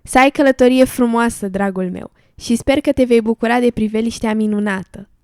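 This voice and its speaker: A small, affectionate female pond creature, acted by a woman in an educational radio drama. The cheerful voice is rather high and recorded dry. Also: fairly even intonation, a little mellow, clear, resonant tone, no room sound, close to an everyday voice. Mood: tender. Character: affectionate, cheerful female little amphibian, a loyal friend.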